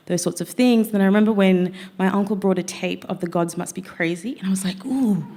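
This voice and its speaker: A woman in her thirties, raised in Zambia, leads a panel discussion. She talks in a reflective, conversational way and moves between careful asides and quick bursts of speech. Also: medium pitch, mellow. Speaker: panel moderator.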